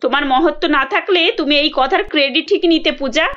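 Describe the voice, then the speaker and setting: A woman's voice: high-pitched, fairly quick, a bit bright, highs cut off, faint music behind. A woman voicing a kindly, well-off madam in a cartoon, concerned and reassuring. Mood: kind, grateful. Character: well-off, kindly, grateful madam, protective sister-in-law. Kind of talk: cartoon dialogue.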